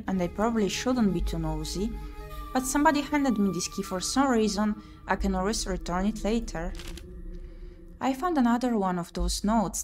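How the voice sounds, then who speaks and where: A woman streaming a visual novel playthrough, reading game text aloud expressively and muttering short asides to herself. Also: medium pitch. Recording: music playing behind the voice.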